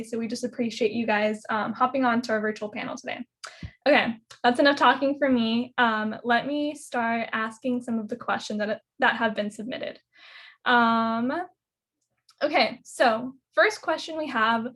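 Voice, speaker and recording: Young woman running a zoom panel, kinda high voice, chill and upbeat, lots of ums. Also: fairly bright tone. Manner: casual, filler-heavy and upbeat. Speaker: panel moderator. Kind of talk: virtual panel moderation. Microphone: video call.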